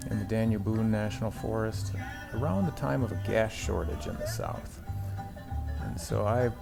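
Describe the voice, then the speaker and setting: A man's voice: fairly low pitch, moderate pace, vocal fry, over music. A middle-aged man from the United States narrating a travel vlog about a road trip in an easygoing, lightly wry way.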